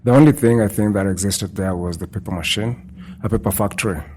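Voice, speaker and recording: Man, low unhurried voice. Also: darkish tone, breathy edge. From Kenya. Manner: thoughtful, unhurried, repeats phrases. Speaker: guest photographer. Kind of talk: casual interview talk. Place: indoors at a culture centre.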